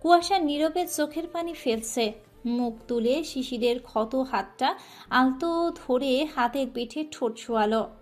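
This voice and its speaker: A woman narrating a scripted romantic audio story in a soft, emotive way. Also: sits high, a little slow.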